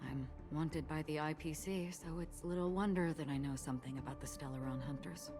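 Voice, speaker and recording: An enigmatic wanted wanderer in a video game. Her voice is very low for a woman, cool and measured, with a reflective calm, and music plays clearly behind it. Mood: calm, probing. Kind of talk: scripted video game dialogue.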